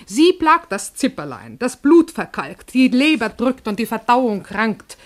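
Proud old woman, personified poverty, fairly high voice, stern, declamatory, scolding, classical radio drama. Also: sing-song, unhurried. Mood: proud, lecturing. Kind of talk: classical stage-style radio drama acting.

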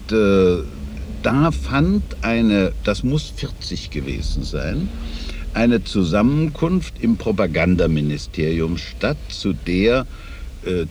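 An older man gives a spoken recollection in an interview. He talks very slowly in a lowish voice, over faint noise. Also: darkish tone. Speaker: interviewed eyewitness. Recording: faintly noisy archive interview.

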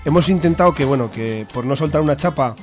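A man's voice: fairly high pitch, fast, darkish tone, faint music behind. Informal Spanish man chatting on radio. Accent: European Spanish. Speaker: radio guest, activist collective member. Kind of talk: casual chat. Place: radio studio.

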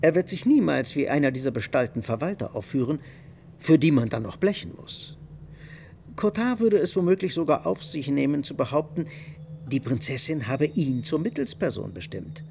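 An actor playing a well-off, calculating bourgeois husband in a German radio play, persuasive and matter-of-fact, talking as if plotting in confidence. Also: male voice, fairly high pitch, lively intonation, normal speed, gravelly, darkish tone, a little hiss or hum. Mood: scheming, dry. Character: calculating, well-off bourgeois husband, brusque but secretly charitable.

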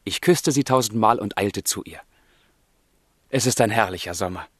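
Passionate, husky young romantic. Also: male voice, lowish voice, moderate pace, a bit bright, no echo, studio quality. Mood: wistful, tender. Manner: fervent, declamatory. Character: passionate, brooding young man of the 1770s. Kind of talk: literary radio drama, dialogue and letter monologue.

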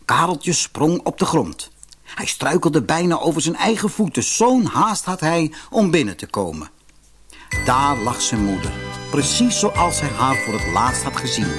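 A man narrating a scripted fairy tale as an expressive audiobook storyteller. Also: a little high, unhurried. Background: music.

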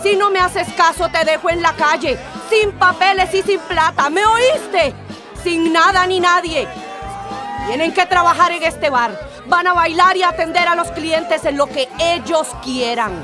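High-pitched menacing woman bar boss. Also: unhurried. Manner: harsh, bossy, menacing. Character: cruel, threatening female bar boss exploiting captive women. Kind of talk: radio drama acting. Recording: loud music and crowd chatter behind.

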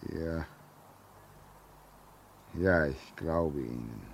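A brooding middle-aged signalman with a very low voice. He answers slowly and haltingly, wary and haunted, as in an old radio play with light background noise. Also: exaggerated voice. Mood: ominous. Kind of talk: 1950s German radio drama acting. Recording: noisy old 1950s radio recording.

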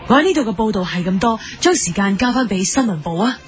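A woman's voice: medium pitch, expressive, fast, a loud crowd, natural voice. A woman with a Hong Kong accent playing an earnest, curious television field reporter in a parody radio drama. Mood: formal reporting.

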